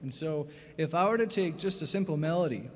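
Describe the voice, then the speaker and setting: A man's voice: fairly high pitch, brisk. A man from Vermont, a fiddler and bandleader, telling easygoing stories to a concert audience between tunes, with plenty of "uh"s. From Vermont, New England. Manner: easygoing and folksy, with many "uh"s. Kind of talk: storytelling stage patter between tunes. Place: concert stage. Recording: long reverb of a concert hall.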